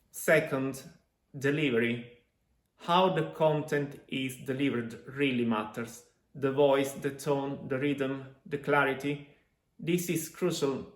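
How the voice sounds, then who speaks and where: An Italian-native man speaks to camera in a mid-pitched voice for his language-learning channel. He talks deliberately and often stops on a drawn-out uh before going on. The room around him is echoey. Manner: deliberate, with frequent uh pauses. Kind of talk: measured talk to camera.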